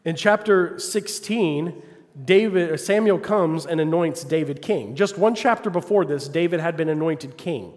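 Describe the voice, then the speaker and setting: Fervent preacher in a reverberant hall. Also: male voice, on the high side, normal speed. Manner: call-and-response, asks congregation "Amen?"; rises to loud, fervent passages, drops to quiet asides. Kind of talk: sermon.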